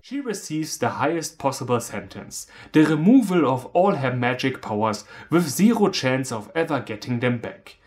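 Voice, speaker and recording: A man's voice: mid-range voice, big pitch swings, normal speed, few pauses, darkish tone, slight background noise. A man in his thirties from Germany with a German accent, delivering a scripted, humorous film review in a sardonic manner.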